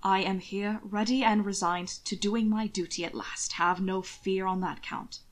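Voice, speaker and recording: A woman voicing a curt, sardonic male aristocrat in an audiobook story, gruff and clipped. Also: mid-range voice, steady tone, unhurried, lightly acted. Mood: resigned. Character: Hannibal, curt, brooding, sardonic aristocratic man, the heir.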